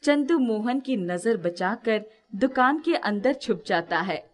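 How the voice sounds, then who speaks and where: A woman's voice: on the high side, lively intonation, slow. A woman narrating a scripted moral story as its storyteller.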